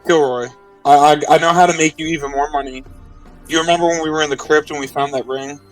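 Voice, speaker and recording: A man's voice: fairly high pitch, steady tone, fast talker, far from the mic, soft background music, poor audio. A man playing a tabletop roleplaying game, speaking improvised in-character lines earnestly and pleadingly.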